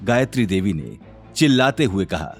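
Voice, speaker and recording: A man's voice: sits low, sing-song, moderate pace, faint music behind. A man narrating a scripted drama serial in a dramatic storytelling style.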